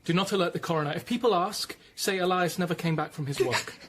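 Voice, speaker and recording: An actor playing a firm, secretive, pious Tudor court official in a radio drama. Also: male voice, sits high, quick pace, fairly bright tone. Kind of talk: BBC radio drama acting.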